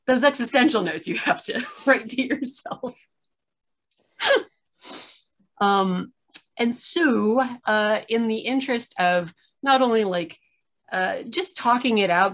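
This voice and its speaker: A woman's voice: medium pitch, fairly bright tone. A middle-aged woman hosting a casual, unscripted chat over a video call, rambling with self-deprecating humour. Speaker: host. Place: room at home, video call.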